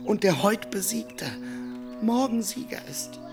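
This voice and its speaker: Female actor, faithful earthy squire, pleading, emotional, medium pitch, radio play, music behind. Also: a little slow, close to an everyday voice. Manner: imploring, plain-spoken, emotional. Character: loyal, pleading squire to a dying knight, earthy. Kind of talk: literary radio play acting.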